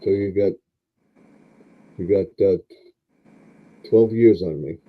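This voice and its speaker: Man, 70s, low voice, rambling, filler-heavy, casual live stream host, muffled lo-fi sound. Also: slow pace. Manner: rambling, lots of fillers and restarts.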